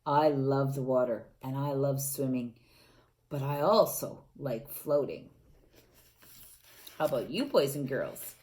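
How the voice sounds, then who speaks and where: A woman reading a storybook aloud to children, animated and asking them questions, sometimes dropping to a whisper. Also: a bass voice, takes it slowly, pauses often.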